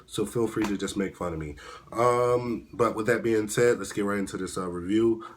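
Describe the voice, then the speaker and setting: A man hosting his own movie review show, speaking hoarsely in a rambling, halting way with frequent restarts. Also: on the low side, a little hiss or hum. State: hoarse, voice at risk of cracking. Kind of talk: casual spoken movie review.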